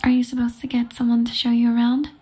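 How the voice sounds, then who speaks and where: A woman's voice: fairly high pitch, completely flat delivery, fast, dark tone, breathy edge. A woman voicing an obsessive, cruel popular schoolgirl in an ASMR roleplay, whispering breathily. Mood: haughty. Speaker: voice actress in yandere roleplay audio.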